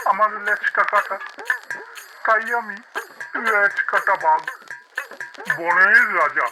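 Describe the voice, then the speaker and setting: An actor voicing a vain, foolish, boastful tail-less tiger king in a comic cartoon, with theatrical, drawn-out exclamations. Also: high voice, low-quality recording. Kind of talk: cartoon character acting in a comic animal tale. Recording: loud music behind.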